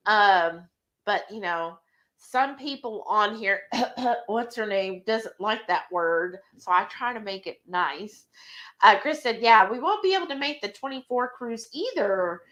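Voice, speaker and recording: A woman's voice: medium pitch, animated, fairly bright tone. A woman hosting a live cooking stream, chatting casually and reading viewer comments aloud in an encouraging tone. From Texas. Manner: chatty and encouraging, with drawn-out asides.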